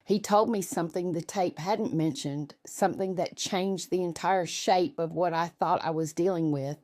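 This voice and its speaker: Low-voiced woman narrating a story. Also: normal speed, a little mellow, no echo, clean studio sound. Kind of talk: scripted first-person story narration.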